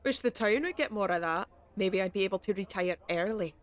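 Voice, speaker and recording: A woman's voice: medium pitch, animated, fairly quick, natural voice. A woman playing a friendly, teasing market baker with a folksy, wry manner in a fantasy audio drama. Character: friendly, teasing female market baker hawking meat pies. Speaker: voice actor. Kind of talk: fantasy audio roleplay acting.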